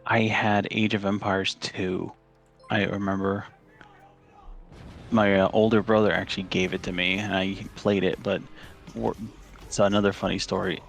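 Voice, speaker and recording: Low, gravelly man from Chile. Casual guest, rambles through stories with faint music underneath. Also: little pitch movement, normal speed, long pauses. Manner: rambling storyteller, many fillers and restarts. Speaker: podcast guest. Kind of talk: casual interview chat.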